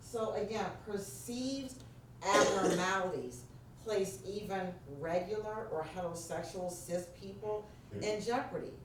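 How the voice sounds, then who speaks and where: A deep-voiced woman with a New York accent leads an interactive workshop and keeps calling on her audience. Her voice is picked up from well off the mic. It rings through a very reverberant meeting room over a faint background hiss. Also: unhurried. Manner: engaging, prompts the audience directly. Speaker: workshop presenter. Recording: very echoey room, distant mic, faint noise.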